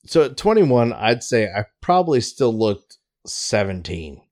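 A man's voice: mid-range voice, unhurried. A man in his late thirties chatting casually and swearing freely. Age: 30s. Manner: casual, profane, animated chat. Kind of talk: casual podcast chat.

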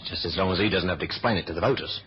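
A man playing a cheeky, quick-witted young kidnapper in a 1970s radio drama, flippant and slangy. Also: low voice, a little hiss or hum, close to an everyday voice. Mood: mocking. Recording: muffled lo-fi old radio recording.

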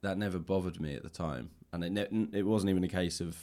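A man's voice: deep voice, fast talker, warm tone. A man hosting a casual interview, feeling his way through questions in tentative, rambling phrases. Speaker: podcast host, interviewer. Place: indoors, upper floor of a public library.

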